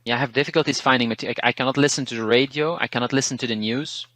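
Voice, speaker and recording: A man from Belgium with a Belgian Dutch accent whose first language is Dutch, a language teacher talking through learning tips in a relaxed, thoughtful way, pausing and restarting words. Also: medium pitch, no room sound. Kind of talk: interview, casual advice.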